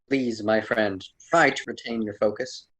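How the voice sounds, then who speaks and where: A man playing a wise, admonishing storyteller mentor in an amateur radio drama, formal and slightly husky. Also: lowish voice, a bit bright, full character voice. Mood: grave. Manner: formal, solemn, didactic.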